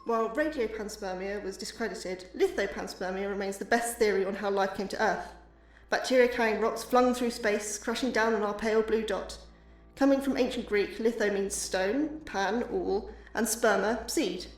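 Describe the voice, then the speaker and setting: A woman's voice: medium pitch, normal speed, close to an everyday voice. A woman playing an enthusiastic but anxious astrobiologist giving an academic lecture in an audio drama, earnest and slightly awkward. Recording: echoey, distant hall with music.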